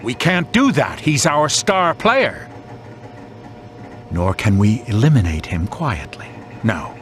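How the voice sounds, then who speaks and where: An actor playing a cold, calculating boss in a video game, measured and commanding. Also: male voice, very animated. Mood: cool, calculating. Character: cold, calculating male boss in control room. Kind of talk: video game cutscene acting. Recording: rumbling noise behind the voice.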